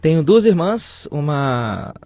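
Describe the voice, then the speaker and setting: A man in his forties from Espírito Santo, Brazil, a physician telling stories in a relaxed, casual interview. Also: on the high side, slow pace, no room sound, highs cut off. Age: 40s. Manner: relaxed, storytelling, uses "cara" and "né". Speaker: physician and writer, podcast guest.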